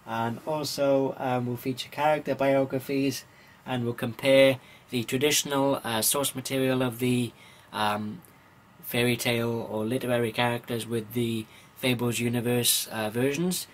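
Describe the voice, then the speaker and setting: A man from Wales gives an informal vlog-style news rundown in a halting, hesitant way, pausing often with ums. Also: medium pitch, steady tone, a little hiss or hum. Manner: halting, hesitant delivery with frequent pauses and ums. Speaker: comics vlogger.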